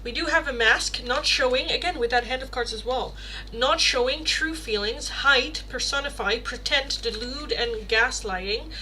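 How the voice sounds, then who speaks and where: A woman's voice: high-pitched, moderate pace, sharp tone, a little hiss or hum, rough recording. A young woman with a Dutch Rotterdam accent, doing a casual livestream tarot reading and rambling through chat questions with lots of fillers. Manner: chatty, rambling, many fillers and self-corrections.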